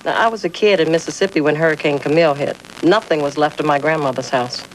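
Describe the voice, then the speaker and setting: A woman's voice: sits low, fast talker, a little hiss or hum, natural voice, low-quality recording. An actress playing a chatty, wary civilian staff worker, a woman with an inquisitive manner. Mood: wary, curious. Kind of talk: film drama dialogue.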